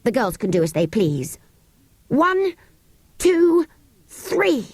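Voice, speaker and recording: A woman voicing a bold, bossy young schoolboy in an audiobook, giving slow, deliberate, emphatic commands. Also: high-pitched, big pitch swings, slightly rough, dry recording, voice pushed up, exaggerated voice. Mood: urgent, bossy.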